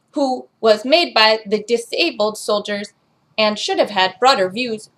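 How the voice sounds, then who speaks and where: A woman's voice: on the high side, fairly bright tone. A woman voicing a robot that reads a children's storybook aloud in a robotic delivery. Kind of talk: scripted storybook narration.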